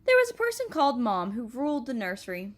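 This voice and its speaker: A woman reads a children's picture book aloud. Her delivery is gentle and expressive. Her voice sits somewhat high for a woman. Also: fast, slight background noise. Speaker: storybook reader.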